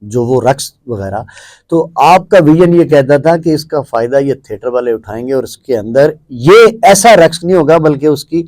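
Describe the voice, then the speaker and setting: A man's voice: mid-range voice, expressive, darkish tone, no room sound. A man with a Pakistani accent asking questions as an interviewer in a conversational style.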